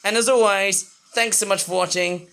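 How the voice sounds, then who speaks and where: A man with a high voice rambles through a toy review, chatty and playful. His voice sounds a little under the weather, and he breaks into sudden excited outbursts. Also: normal speed, vocal fry, smooth, rough recording. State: voice not fully well. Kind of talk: casual toy review vlog.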